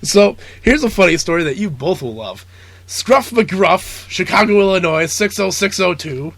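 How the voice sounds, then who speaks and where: A hoarse man with a cold, co-hosting a casual podcast and reading odd news stories aloud with frequent laughter. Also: sits high, expressive, normal speed, a bit bright, no echo. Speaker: podcast co-host.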